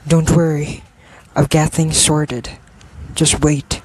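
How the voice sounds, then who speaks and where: A man's voice: a little high, flat delivery, takes it slowly, darkish tone, a little hiss or hum. A man playing a secretive, ambitious new king reassuring his queen in an amateur radio drama.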